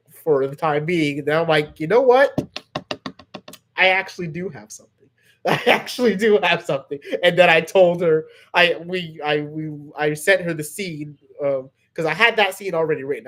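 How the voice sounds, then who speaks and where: Male online host, voice a little on the high side, loose and chummy, rambling through casual chat with fans. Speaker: host of a fan series channel answering questions.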